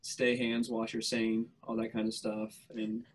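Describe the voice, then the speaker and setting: A man speaks at a medium pitch with a husky, less than clean tone. His delivery is informal and conversational, like a guest chatting on an online stream. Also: completely flat delivery, measured pace. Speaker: podcast musician. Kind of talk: casual chat.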